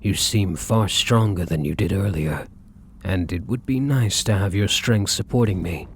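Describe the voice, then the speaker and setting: A man playing a devoted, courtly vampire lover in an ASMR roleplay, with a husky, breathy voice and formal, flowery endearments. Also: deep voice, slight background noise. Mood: tender, solemn. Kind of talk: ASMR roleplay, scripted romantic monologue.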